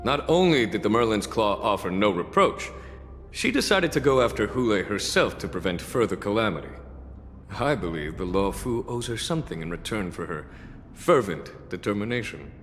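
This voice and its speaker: An actor playing a composed, dutiful army general in a fantasy video game, speaking formally and with command. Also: male voice, fairly low pitch, lively intonation, moderate pace, a bit bright. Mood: grave. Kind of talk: dramatic video game voice acting. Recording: long echoing hall reverb, music behind.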